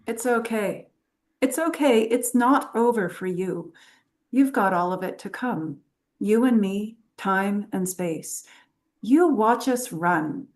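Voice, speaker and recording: A woman playing a flirtatious, knowing adventurer professor in a script table read, with a teasing, unhurried delivery. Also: medium pitch, expressive. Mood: tender, brave.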